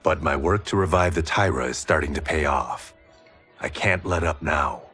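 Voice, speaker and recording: An actor playing a gruff, diligent warrior with a husky voice in a video game. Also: male voice, extremely low pitch, normal speed, sharp tone, soft background music, exaggerated voice. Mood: resolute. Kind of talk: video game cutscene acting.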